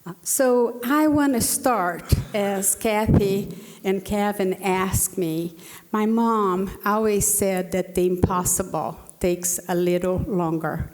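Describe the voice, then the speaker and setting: A woman's voice: mid-range voice, slow pace, a little mellow. A woman in her sixties from Brazil with a Brazilian Portuguese accent, telling a personal story as a panelist, earnestly, with fillers and restarts. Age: 60s. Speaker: panelist speaker. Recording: long hall reverb.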